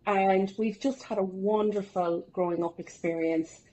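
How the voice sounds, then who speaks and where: A woman in her forties chats with an upbeat, lively manner. She tells stories with self-deprecating humour as a podcast guest, at a medium pitch. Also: a little slow, low-quality recording. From Ireland. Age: 40s. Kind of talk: casual interview storytelling.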